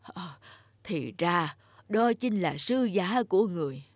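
A woman's voice: fairly low pitch, lively intonation, slow, husky, no echo, clean studio sound, pitched below the performer's own voice, exaggerated voice. Woman voicing a hesitant, anxious old grandfather. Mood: frightened pleading. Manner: hesitant, fearful. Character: frail, anxious old grandfather afraid of death. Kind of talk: cartoon folk-tale acting.

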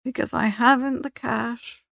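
A woman voicing a hapless, excitable young upper-class gentleman with slangy, emphatic talk in an audiobook. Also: a little high, a little slow, gravelly, no room sound, clean studio sound, exaggerated voice. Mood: gloomy. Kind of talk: audiobook character reading.

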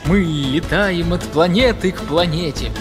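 A man voicing a kind, calm cat doctor in a children's cartoon, speaking gently and with measured concern. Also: a little high, over music, lightly acted. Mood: concerned, reassuring.

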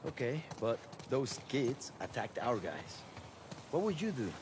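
A man's voice: mid-range voice, sing-song, a little slow. An actor playing a wary, hard-nosed male soldier in a video game, speaking curtly and defensively. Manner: curt, defensive. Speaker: game character. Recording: game audio with noise behind.

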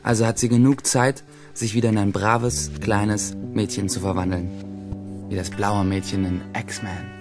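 A man's voice: lowish voice, little pitch movement, a little slow, over music, close to an everyday voice. A man playing a wry, boastful teenage schoolboy in a radio drama, narrating his day in short clipped phrases. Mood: wry, bored. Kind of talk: first-person audio drama monologue.

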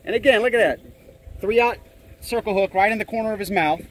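A man's voice: high voice, fairly quick. A middle-aged American man giving casual, folksy running commentary as host of a fishing vlog. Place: outdoors on a small boat on open water. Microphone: handheld camera or phone. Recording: noisy outdoor boat and water sound.

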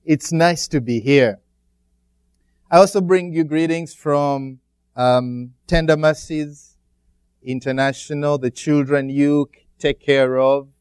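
A middle-aged man from Uganda with a Ugandan accent, speaking as a guest pastor to a church congregation, pausing often as he preaches. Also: fairly high pitch, steady tone, darkish tone, clear, resonant tone, no echo. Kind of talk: church greeting and sermon.

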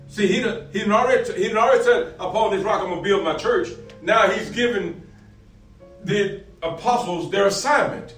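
A male pastor with a high-pitched voice delivers a sermon. He poses questions, answers them and repeats key phrases, dropping in frequent amens. The recording is roomy and picked up from a distance. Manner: repetitive call-and-answer questions, punctuated with amens. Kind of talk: sermon, preaching with scripture readings. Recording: roomy, distant mic, faint music behind.

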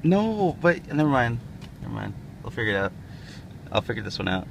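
A man's voice: mid-range voice, sing-song, brisk, gravelly. A man bantering casually as a vlog sidekick, easygoing and joking. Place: inside a moving car. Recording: noisy car interior, road noise.

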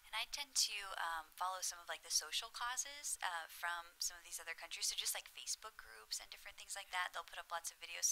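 Woman panelist, low-ish bright voice, thoughtful, at a table mic. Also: poor audio. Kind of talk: panel discussion. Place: conference room with audience.

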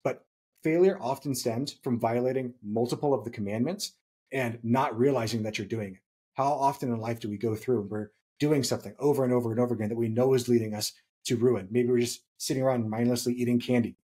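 A man in his thirties with a slightly husky voice, co-hosting a podcast and explaining points emphatically, repeating key phrases for stress. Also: mid-range voice, steady tone, fast talker, mellow. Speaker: podcast co-host. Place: small home room, indoors.

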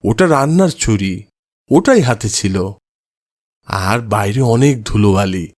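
A man's voice: on the low side, lively intonation, measured pace, darkish tone, no room sound. A man acting a kindly cartoon grandfather, close dry sound. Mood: explaining, good-humoured. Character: kindly old grandfather, mild and good-humoured. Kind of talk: cartoon moral story dialogue.

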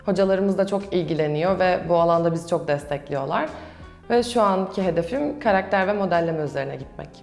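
A woman's voice: lowish voice, lively intonation, brisk, creaky, darkish tone. A young adult woman, a student answering questions in a promotional interview. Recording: roomy echo with music behind. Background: background music.

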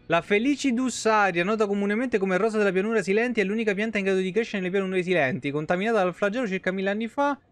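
A man in his thirties with a fairly high voice, commenting on a game as a live streamer. He is informal and slangy, recorded close and dry in a small room. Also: no room sound.

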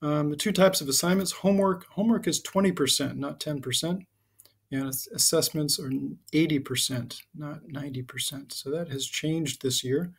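A middle-aged American man, a high school teacher, giving an informal, halting, explanatory introduction to his class over slides. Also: breathy edge. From United States (Connecticut and Southern California).

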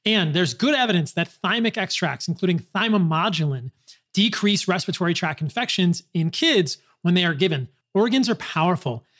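High-pitched male podcast host. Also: a bit bright. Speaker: doctor. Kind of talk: conversational podcast talk. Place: small home office, indoors. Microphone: close desk podcast microphone.

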